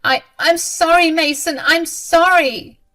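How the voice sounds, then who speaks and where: A woman's voice: mid-range voice, lively intonation, measured pace, a bit bright. A snobbish, middle-aged mother-in-law, as a woman narrator plays her, with a haughty and scornful way of speaking. She is cruel and full of her own status in this dramatized story. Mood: flustered, pleading.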